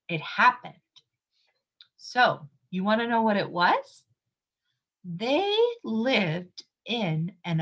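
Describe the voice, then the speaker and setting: Animated, bright-voiced woman reading to children. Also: fairly high pitch, lo-fi sound. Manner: expressive, simple child-directed explaining, emphatic, asks listeners questions. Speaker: read-aloud storyteller for young children. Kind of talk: picture-book read-aloud with teacherly asides and questions.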